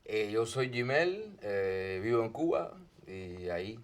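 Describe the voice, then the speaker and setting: A man who is a podcast guest, speaking hesitantly and quietly in few words. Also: lowish voice, sing-song, slow pace.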